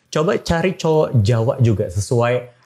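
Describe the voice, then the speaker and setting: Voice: mid-range voice, lively intonation, moderate pace, darkish tone, husky. A podcast host in casual interview chat, agreeing often, echoing the guest's words and mixing in some English.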